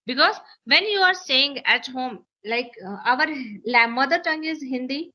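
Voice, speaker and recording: A woman's voice: high voice, normal speed, sharp tone. A woman English teacher with an Indian accent and Maithili as her first language, earnestly explaining in an interview and repeating phrases to stress her points. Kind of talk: interview, sharing learning advice.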